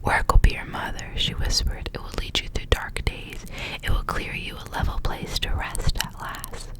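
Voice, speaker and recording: A woman whispering as an ASMR host, reading stories aloud with casual asides in a hushed, unvoiced delivery. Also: moderate pace. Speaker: ASMR reader, host of the channel.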